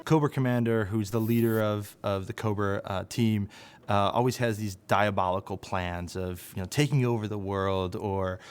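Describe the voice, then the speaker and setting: A man's voice: lowish voice, steady tone, normal speed. A man explaining things casually as a documentary interviewee.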